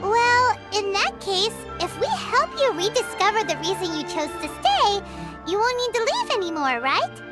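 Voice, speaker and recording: A woman voicing a tiny, excitable floating girl companion in a game dub, chirpy and quick to exclaim. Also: very high-pitched. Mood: curious. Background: game music.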